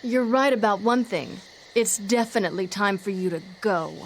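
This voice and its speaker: An actress playing a sardonic half-vampire assassin in a video game, cocky and dry with a wisecracking delivery. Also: female voice, medium pitch, normal speed, a little hiss or hum, natural voice. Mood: defiant. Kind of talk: video game cutscene acting. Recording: music behind the voice.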